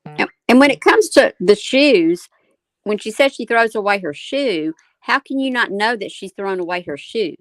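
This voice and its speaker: A woman's voice: medium pitch, lively intonation, normal speed, no room sound. A woman co-hosting a live discussion, giving opinionated commentary in an emphatic, questioning way. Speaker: co-host moderating a live discussion.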